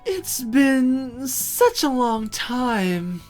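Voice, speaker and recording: A performer voicing a sultry female demon from a visual novel, teasing, with a slow, drawn-out, playful purr. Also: sits high, big pitch swings, a little mellow, faint music behind, voice pushed up, heavily acted. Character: newly summoned sharp-toothed female demon, sultry and teasing.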